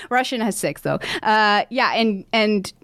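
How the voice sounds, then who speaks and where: A woman's voice: medium pitch, animated, slow pace, fairly bright tone, dry recording, clean studio sound. A woman who sings opera, chatting in an interview and filling her talk with "like" and "um". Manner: chatty, lots of "like" and "um", laughs easily. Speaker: opera singer guest. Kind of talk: casual interview chat.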